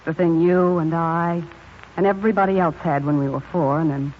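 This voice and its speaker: A woman's voice: on the low side, fairly even intonation, moderate pace, lightly acted. An actress playing a frank, commanding American woman in a 1950s radio drama, speaking emphatically in long, perceptive speeches. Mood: firm, reflective. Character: frank, no-nonsense, perceptive woman scolding two men. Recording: muffled, hissy 1950s radio recording.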